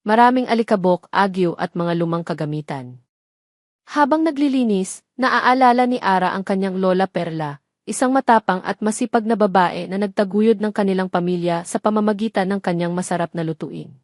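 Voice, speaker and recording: A woman's voice: medium pitch, normal speed, dry recording, studio quality. A woman reading a scripted, dramatic love story as an audio narrator.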